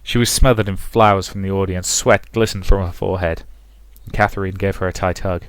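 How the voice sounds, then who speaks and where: A man's voice: deep voice, fairly even intonation, fairly quick, clear, resonant tone, dry recording. A man narrating a visual novel playthrough, reading the story aloud and adding casual commentary, with a rambling way of talking. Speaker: let's player and narrator.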